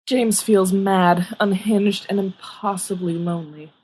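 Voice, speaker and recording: A woman's voice: medium pitch, steady tone, unhurried, a little mellow, a little hiss or hum. A woman reading fiction aloud as scripted audiobook narration. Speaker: audiobook reader.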